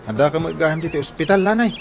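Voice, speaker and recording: An actor with an Ilocano accent playing a lovelorn young bachelor in a radio comedy drama. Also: male voice, fairly high pitch, very fast, creaky, soft background music. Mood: plaintive. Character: lovelorn young unmarried man courting a girl.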